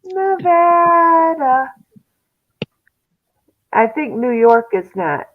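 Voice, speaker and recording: A woman's voice: high-pitched, very animated, extremely slow, phone-quality sound, a little hiss or hum. A woman in casual chat who recites lists from memory in an easygoing way.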